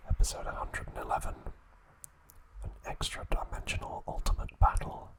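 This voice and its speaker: A man narrating an ASMR recap as host, speaking in a soft, breathy whisper with a gentle, halting delivery. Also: poor audio.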